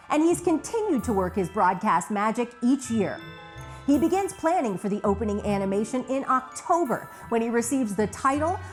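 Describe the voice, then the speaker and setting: A woman hosts a retrospective with scripted narration. Her voice sits fairly high and moves with very animated intonation. Faint music plays behind her.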